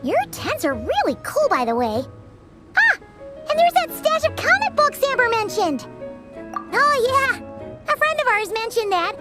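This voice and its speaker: Tiny chirpy game fairy: extremely high, squeaky, swooping delivery, loud music underneath. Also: female voice, sing-song, unhurried, full character voice. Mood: amazed. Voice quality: squeaky, childlike. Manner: perky, refers to itself in third person. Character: tiny chirpy excitable childlike floating fairy companion. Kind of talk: video game voice acting.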